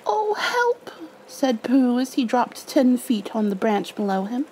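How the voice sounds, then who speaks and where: A woman narrating a storybook in a gentle ASMR style, expressive and unhurried. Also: fairly high pitch, rough recording. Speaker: ASMR roleplayer reading a storybook. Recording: faint background noise.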